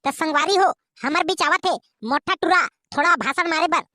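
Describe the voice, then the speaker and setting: A performer voicing a gruff male village schoolteacher who hosts the show and scolds the pupils, with a Chhattisgarhi accent and a high cartoon voice. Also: brisk, sharp tone, no room sound, studio quality. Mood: announcing. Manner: announcing and scolding, calls pupils names. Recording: cartoon voice over faint music.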